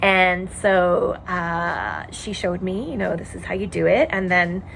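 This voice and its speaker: A Canadian woman in her forties chats about her crafts in a relaxed, rambling way, filling pauses with ums and uhs. Her voice sits on the lower side for a woman. A steady hum runs clearly behind her home recording. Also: rough recording. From Montreal, Quebec, Canada. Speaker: knitting podcast host. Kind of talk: casual chat. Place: home in a tiny house.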